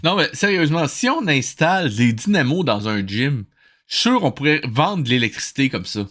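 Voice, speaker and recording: A man's voice: fairly high pitch, expressive, a little fast, a bit bright. A man hosting a casual, humorous reaction commentary on a cartoon, with a wry, joking manner.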